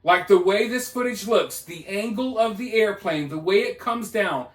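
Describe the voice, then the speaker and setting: A high-pitched male commentator talks through the news in a roomy, echoing space. He is casual and opinionated, leaning on colloquial phrasing and repetition to drive points home. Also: normal speed. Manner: emphatic, colloquial, repeats phrases for effect. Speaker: commentator hosting a news video.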